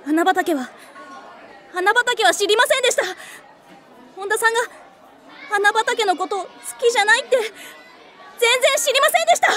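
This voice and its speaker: A blunt, oblivious young office worker. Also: female voice, very high-pitched, lively intonation, normal speed, long pauses, sharp tone, faint music behind, a faint crowd, exaggerated voice. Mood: formal. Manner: blunt, polite, literal-minded.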